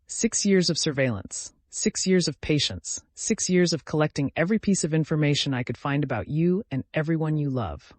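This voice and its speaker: A vengeful woman read by a man in an audiobook drama, deliberate and menacing. She is bitter and tightly controlled, in a slightly raised male register with a very dry sound. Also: fairly high pitch, dry recording, close to an everyday voice. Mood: cold menace. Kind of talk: dramatic audiobook character reading.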